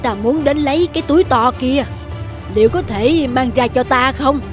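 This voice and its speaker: A woman voicing a greedy, mean old wife in a children's fairy tale, bossy and sharp-tongued. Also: sits high, moderate pace, natural voice. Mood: greedy, eager. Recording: music behind the voice.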